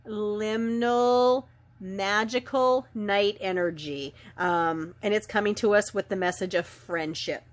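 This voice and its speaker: A woman with a slight head cold and a raw, gravelly throat, giving a soft, unhurried daily oracle card reading full of ums and trailing phrases. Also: medium pitch. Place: indoor filming setup lit by ring lights.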